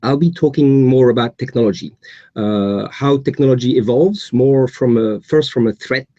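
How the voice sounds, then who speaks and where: Belgian-accented man, mellow tone, halting webinar delivery with many restarts, dry close sound. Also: mid-range voice, no room sound, muffled recording. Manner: hesitant, many repeats and fillers. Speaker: guest speaker. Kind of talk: webinar presentation.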